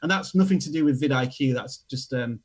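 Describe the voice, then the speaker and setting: Yorkshire male guest, casual and halting, mid-range voice. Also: mid-range voice. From England. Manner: relaxed, hesitant with many fillers, self-deprecating. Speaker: guest expert. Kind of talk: casual online show chat.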